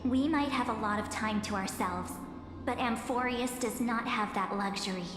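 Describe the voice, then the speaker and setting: A woman playing a solemn, mysterious, guarded figure in a game cutscene, speaking gravely and deliberately in poetic phrases. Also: a little high, close to an everyday voice. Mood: grave, urgent. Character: solemn, mysterious, guarded female bearer of warnings. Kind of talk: dramatic game cutscene monologue. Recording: echoey reverberant hall sound. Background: music.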